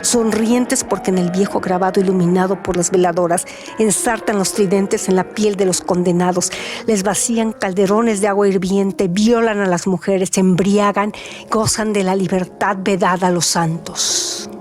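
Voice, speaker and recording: Woman narrating literature over clear music. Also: mid-range voice, steady tone, normal speed, a little mellow. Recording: music behind voice.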